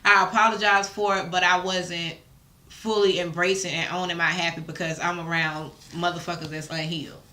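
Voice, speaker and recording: A woman's voice: on the low side, little pitch movement, normal speed, sharp tone. A woman chatting casually in an interview, candid and emphatic, with slangy phrasing and free swearing. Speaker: guest.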